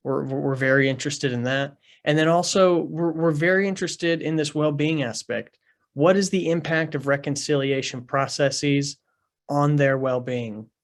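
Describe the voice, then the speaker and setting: A man's voice: a little high, fairly even intonation, normal speed. A man presenting a research study on a panel, with frequent ums and repeated words.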